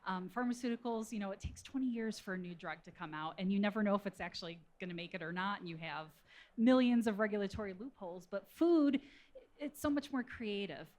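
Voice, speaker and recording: A woman's voice: mid-range voice, brisk, darkish tone. A woman speaking as an expert panel guest, explaining her work in a casual, conversational way. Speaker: panel guest, food scientist.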